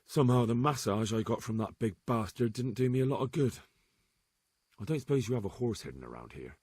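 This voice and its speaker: A man's voice: mid-range voice, expressive, brisk, darkish tone, breathy edge. An actor playing an earnest young man in a video game cutscene, a captive turned messenger. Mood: wary, dry.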